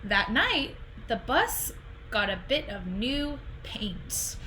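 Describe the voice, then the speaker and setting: Female teacher, thirties, raised pitch and very slow delivery, simple storytime style for little kids, home recording. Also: sing-song, bright, forceful tone, poor audio. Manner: questioning, explaining simply to children. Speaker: teacher reading a picture book aloud. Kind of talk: storybook read-aloud for young children. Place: indoors in a home room. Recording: clear background noise, home video call.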